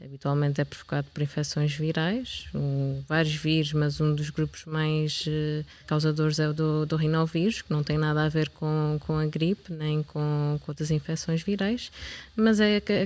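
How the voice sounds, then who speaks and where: A young woman doctor with a European Portuguese accent, explaining health matters in a measured way with small hesitations. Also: sits low, level tone, darkish tone. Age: young adult. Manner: measured, with hesitations and repeated words. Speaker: doctor guest. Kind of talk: explanatory interview answers.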